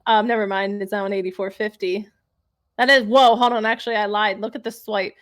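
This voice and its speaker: A woman's voice: mid-range voice, steady tone, brisk, fairly bright tone. A woman co-commentating a live card game stream, giggly and playfully teasing the players. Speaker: esports caster.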